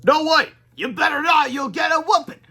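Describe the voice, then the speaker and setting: A man voicing excitable, feisty elderly grandparent superheroes in a storybook read-aloud. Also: extremely high pitch, moderate pace, pitched above the performer's own voice, heavily acted. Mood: indignant. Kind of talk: children's storybook character voice.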